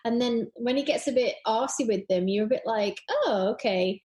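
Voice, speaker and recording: A woman's voice: a little high, normal speed, a little mellow. A woman with a British accent chatting casually as a podcast guest, acting out her reactions as she tells anecdotes. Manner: acts out her reactions in quoted exclamations. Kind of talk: casual, animated anecdotal chat. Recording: echoey room sound.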